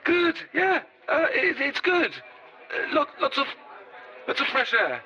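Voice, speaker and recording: On a muffled phone line, an awkward, evasive grown son. His voice is very high and sharply bright, halting and stammering in audio drama style. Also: sing-song, a faint crowd, full character voice. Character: awkward, evasive grown child phoning mother. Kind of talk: horror audio drama acting. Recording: dull band-limited phone sound.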